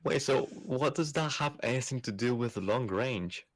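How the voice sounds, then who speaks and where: A man's voice: mid-range voice, moderate pace. A man from Spain with a Spanish accent, chatting easily on a gaming livestream and chuckling often. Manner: easygoing, chuckling, trailing off mid-thought. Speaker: streamer. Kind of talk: casual gaming stream chat.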